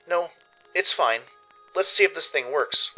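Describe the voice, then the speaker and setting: A man's voice: fairly high pitch, expressive, unhurried, pushed and bright, faint music behind, voice pushed up, exaggerated voice, rough recording. Man voicing an anxious, earnest young pony filly. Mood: uneasy. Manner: nervous, self-reassuring inner monologue. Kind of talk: first-person audio drama narration.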